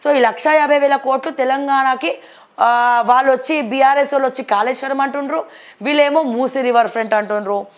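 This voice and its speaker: A woman's voice: high-pitched, fairly even intonation, a little fast. A woman news presenter with a Telangana accent, reviewing the papers and commenting on politics in a conversational, blunt, questioning way. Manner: blunt, questioning, addresses people directly.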